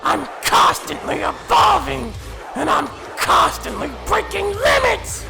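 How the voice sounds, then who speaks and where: A woman's voice: very high voice, sing-song, slow, creaky, raised voice, loud music behind the voice, a loud crowd, heavily acted. A woman playing a cocky, taunting Saiyan warrior villainess with a brash manner in an anime-style fan drama. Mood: taunting, boastful. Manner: taunting, brash, foul-mouthed.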